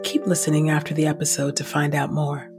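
A woman reading the Bible aloud in a measured, scripted way, with clear and deliberate enunciation. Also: sits low, monotone, music in the background. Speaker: scripture reader and podcast host.

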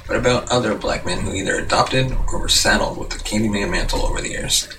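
A man hosting a movie show, reading scripted news and reviews in a slightly halting way. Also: fairly low pitch. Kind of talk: scripted narration, reading box office news and a film review. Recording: noisy room, distant microphone.

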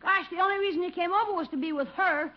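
A woman's voice: extremely high pitch, fast talker. A woman voicing a cheeky, wisecracking young American boy who teases his uncle in a 1950s radio sitcom. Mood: teasing sympathy. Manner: wisecracking, slangy. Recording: muffled old radio, faint noise.

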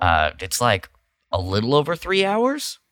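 A man's voice: mid-range voice, unhurried, no echo. A man co-hosting a podcast, talking casually and loosely with many uhs and likes. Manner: relaxed, hesitant with uh and like. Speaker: podcast co-host.

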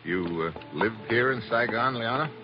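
An actor playing a cool, wry American secret agent in a casual, clipped 1940s radio style. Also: male voice, mid-range voice, lively intonation, moderate pace, close to an everyday voice. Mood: smooth, flirtatious. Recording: muffled vintage radio recording with music behind.

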